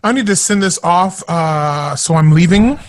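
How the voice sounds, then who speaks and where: A man's voice: high-pitched, measured pace, heavy background noise. An actor playing a harried, exasperated young man, an aspiring singer, in a modern audio drama.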